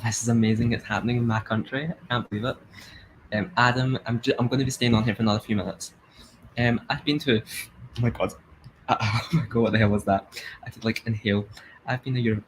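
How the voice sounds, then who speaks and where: A young man with a Glasgow-area Scottish accent, chatting excitedly and laughing as he comments on a live stream. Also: on the low side, a little hiss or hum, low-quality recording. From Scotland.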